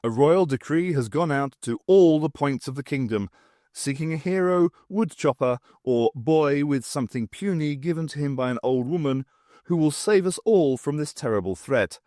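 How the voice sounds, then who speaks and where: A performer voicing a melodramatic, urgent news commentator in a fairy-tale audiobook, pausing for dramatic effect. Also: medium pitch, expressive, dark tone, no echo, studio quality, pitched above the performer's own voice, close to an everyday voice.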